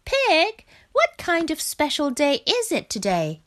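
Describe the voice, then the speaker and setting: A woman voicing a small anxious male frog in a storybook, high and pleading. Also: big pitch swings, measured pace, fairly bright tone, exaggerated voice. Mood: eager.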